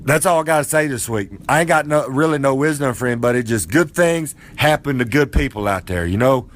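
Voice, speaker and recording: A man's voice: medium pitch, animated, a little fast, a little hiss or hum, lightly acted. A man voicing a boastful, folksy old male wrestler character with a drawl who rambles and preaches. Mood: overjoyed. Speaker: podcast character segment.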